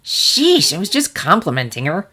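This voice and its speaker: A woman's voice: fairly low pitch, huge pitch swings, moderate pace, pushed and bright, breathy edge, full character voice. A woman voicing a brash, playful younger pegasus pony mare with a breezy, teasing manner in a story reading. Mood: defiant. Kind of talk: fan fiction character reading.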